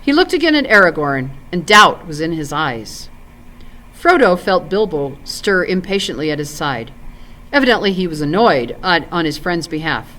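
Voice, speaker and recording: A woman volunteer reader narrating a fantasy novel aloud in an expressive storytelling style. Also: fairly low pitch, normal speed, poor audio.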